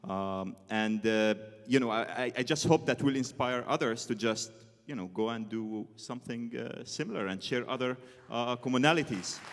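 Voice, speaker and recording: A man's voice: mid-range voice, normal speed. A man speaking reflectively as a guest on stage, hesitant and full of filler phrases. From northern Israel. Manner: hesitant, frequent "you know". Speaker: interview guest, violinist. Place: on stage in a concert hall. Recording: reverberant concert hall.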